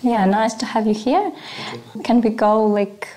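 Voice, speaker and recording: A woman's voice: fairly high pitch, measured pace, mellow, rough recording. A woman interviewer asking questions in a conversational live talk.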